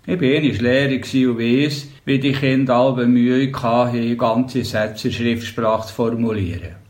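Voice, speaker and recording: An older man from the Bernese Oberland in Switzerland, speaking with a Bernese German accent, calmly reading his memoirs and dialect tales as a storyteller. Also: medium pitch.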